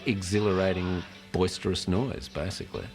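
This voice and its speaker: A man's voice: low voice, steady tone, normal speed, a little mellow, soft background music. A man reminiscing in a documentary interview about his work. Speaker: photographer interviewee.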